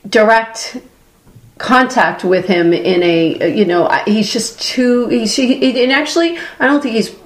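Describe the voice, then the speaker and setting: A woman's voice: fairly high pitch, unhurried. A woman from Boston with a Boston accent, co-hosting a podcast in casual chat, rambling and restarting her thoughts. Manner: rambling, restarts her thoughts, quotes others in animated asides. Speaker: podcast co-host. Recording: echoey room.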